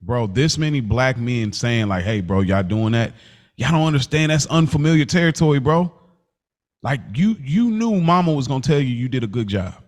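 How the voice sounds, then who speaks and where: Emphatic male podcast co-host, medium pitch. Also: expressive, normal speed, a little mellow. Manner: emphatic, repetitive, slangy. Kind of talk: casual conversational podcast chat.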